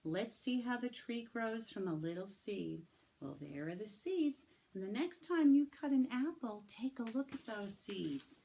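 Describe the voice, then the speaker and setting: Slow, sing-song woman reading a story. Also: fairly high pitch, gravelly, a little hiss or hum. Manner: slow, sing-song teacherly voice with lively swoops and drawn-out words. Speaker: teacher reading aloud to children. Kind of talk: storybook read-aloud lesson for young children.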